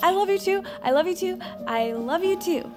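An actress playing a quirky, naive friend with an American accent and a rambling, guileless manner in an audio drama, with some noise in the recording. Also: female voice, very high-pitched, expressive, moderate pace, over music. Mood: warm, excited. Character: quirky, naive, cheerfully odd young female friend.

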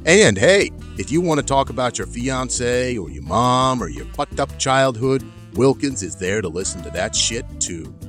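A man's voice: mid-range voice, sing-song, moderate pace, hardly any pauses, a bit bright, faint music behind. A man playing a brash, foul-mouthed tech company boss giving an orientation video in an audio drama, with breezy, slangy bro talk. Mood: chummy, hyped.

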